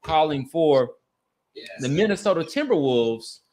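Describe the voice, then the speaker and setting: A man hosting a podcast, talking casually about sports and society in an earnest, halting way with repeated words. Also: a little high, lively intonation, darkish tone. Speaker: podcast host. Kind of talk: casual sports talk and commentary.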